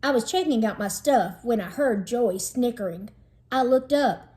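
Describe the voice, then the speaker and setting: A woman narrating a children's storybook in an expressive, dramatizing read-aloud style. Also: a little high, moderate pace.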